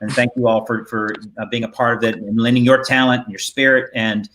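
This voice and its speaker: A middle-aged man hosting a casual video call discussion as a director, halting and often rephrasing himself. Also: mid-range voice, level tone, fairly bright tone, slight background noise, poor audio. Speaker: host and theater director. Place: indoor room on a video call. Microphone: video call microphone.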